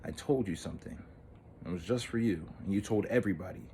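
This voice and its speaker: A man playing an earnest, lovestruck thirteen-year-old boy in an intimate, halting, wistful dramatic monologue. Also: low-pitched, warm tone, a little hiss or hum. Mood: hurt, bitter.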